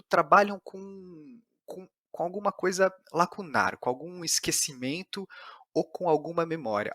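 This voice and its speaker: A Brazilian man hosts an analytical interview in a bright, forward voice pitched a little high. He talks discursively, circling his point and questioning himself. The sound is close and dry. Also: dry recording. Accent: Brazilian Portuguese. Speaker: podcast interviewer.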